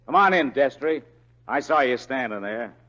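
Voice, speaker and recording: A man's voice: mid-range voice, expressive, moderate pace, pushed and bright. A man playing an angry, defiant cattle rancher, blunt and combative, in an old radio western. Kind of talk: 1940s radio acting. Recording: dull old radio recording.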